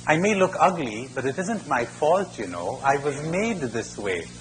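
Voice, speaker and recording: A man's voice: mid-range voice, sing-song, unhurried. A man voicing a sly mother crocodile in a spoken fable, wheedling and matter-of-fact, on a noisy recording. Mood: pleading. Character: pleading, sly mother crocodile trapped in a net.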